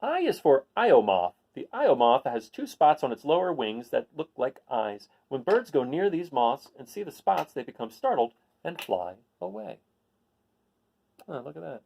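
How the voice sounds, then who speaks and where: A man's voice: medium pitch, sing-song, moderate pace, creaky, a little mellow, rough recording. A man hosting a read-aloud, reading a children's picture book and adding casual, explanatory asides for young listeners.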